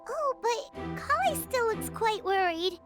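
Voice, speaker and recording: Teeny game fairy, squeaky high chirpy kid voice, bouncy intonation, music behind. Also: female voice, animated, unhurried. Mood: concerned. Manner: chirpy, refers to self by name. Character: tiny excitable childlike female fairy companion. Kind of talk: video game voice acting.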